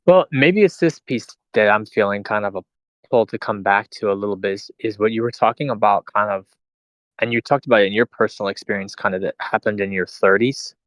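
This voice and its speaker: Soft-spoken interviewer, lowish voice. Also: male voice, a little fast, dry recording, low-quality recording. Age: late 30s or older. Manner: hesitant, searching phrasing with many fillers. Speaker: host. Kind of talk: casual interview questions. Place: online voice chat.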